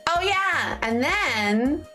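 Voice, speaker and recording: A woman streaming live and chatting to her viewers, fairly high in pitch, though tired and a little lower than usual from jet lag. She rambles bubbly with lots of fillers and restarts. Soft music plays faintly behind her. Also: expressive, drawn-out words. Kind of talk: casual chat to a live chat.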